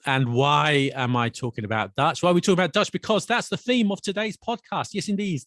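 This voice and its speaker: A man hosting a casual language podcast, chatty and quick with asides and restarts. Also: on the high side, a bit bright, no echo, studio quality. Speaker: podcast host and English teacher. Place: Paris.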